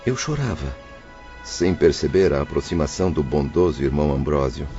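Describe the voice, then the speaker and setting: A man's voice: rumbling low, normal speed. An actor playing a remorseful male spirit who tells his story in an earnest, sorrowful voice. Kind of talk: audio drama narration and dialogue. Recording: dull lo-fi audio with music behind.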